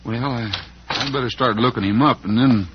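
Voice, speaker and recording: An actor playing an easygoing, kindly cowboy hero with a Western accent in an old-time radio show. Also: male voice, mid-range voice, fairly even intonation, normal speed, close to an everyday voice. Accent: American Western. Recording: muffled, hissy old radio recording.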